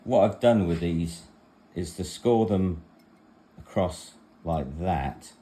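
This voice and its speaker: A man's voice: low voice, warm tone, slight background noise, poor audio. A man giving a casual home demonstration in a rambling, matter-of-fact way, like a vlogger in his kitchen.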